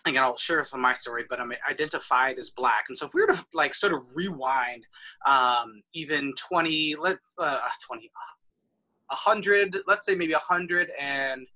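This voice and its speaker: A young man, a university scholar, talking on a video call in a relaxed, affable way, often adding "right?". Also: medium pitch, normal speed, muffled recording. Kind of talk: conversational video-call interview. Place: home room with framed pictures. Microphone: laptop webcam on a video call.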